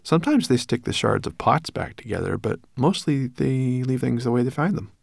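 A man's voice: medium pitch, lively intonation, moderate pace, creaky, dry recording, clean studio sound, voice pushed up. A man voicing a friendly, alert human boy in a storybook, casual and matter-of-fact.

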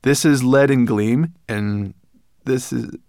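Guy doing a small boy, eager n frank, lifted pitch, storybook kid. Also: slow, creaky, darkish tone, dry recording, studio quality.

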